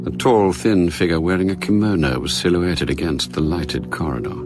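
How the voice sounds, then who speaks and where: A man narrating a spy thriller radio drama in a measured, precise, suspenseful manner, as a grave storyteller. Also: low-pitched, music in the background, lightly acted. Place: studio, with music behind the voice.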